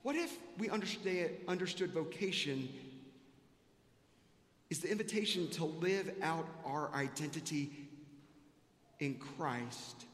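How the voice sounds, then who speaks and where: Male pastor, high-pitched, earnest and repetitive in sermon delivery, recorded in a very reverberant church. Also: animated, unhurried, pauses often, fairly bright tone. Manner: rhetorical repetition, earnest questioning. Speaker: pastor preaching to a congregation. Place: large reverberant church sanctuary. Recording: echoey hall with long reverb.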